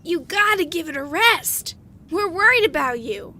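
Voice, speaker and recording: A woman's voice: very high-pitched, animated, moderate pace, pushed and bright, slight background noise, full character voice. A woman voicing a small, anxious young creature in an audio drama. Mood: concerned. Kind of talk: audio drama character acting.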